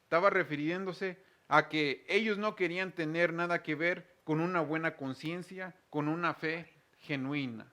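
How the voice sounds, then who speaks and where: A man's voice: a little high, moderate pace, pushed and bright. A man hosting a religious programme, teaching the Bible conversationally in an earnest, explanatory way and stressing key words.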